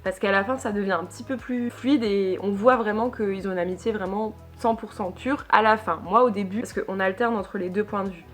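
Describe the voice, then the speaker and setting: A woman's voice: mid-range voice, fairly even intonation, a little fast, hardly any pauses, faint music behind. A young woman from northern France giving a casual book review in a chatty, hesitant way, full of fillers and restarts. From Lille, northern France. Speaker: book vlogger.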